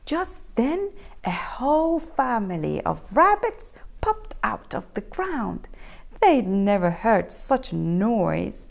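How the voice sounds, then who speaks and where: A woman reading a picture book aloud to children in an expressive, animated storytelling style, inviting them to join in. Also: on the high side, slow pace, gravelly. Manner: expressive, asks the listening children questions.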